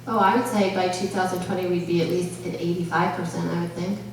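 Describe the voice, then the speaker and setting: A woman's voice: lowish voice, fairly even intonation, quick pace. A woman, a school principal, presenting at a public meeting in an informal, explanatory way. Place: large echoey meeting room. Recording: distant mic, very echoey room, faint noise.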